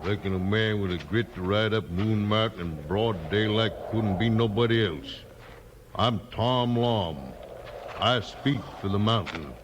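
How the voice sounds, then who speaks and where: A man's voice: fairly low pitch, natural voice. A man playing a proud, laconic mountain-man leader with a rural American hillbilly drawl in a 1950s radio Western. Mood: proud, terse. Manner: terse, slow-talking backwoods drawl. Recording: noisy 1950s radio recording.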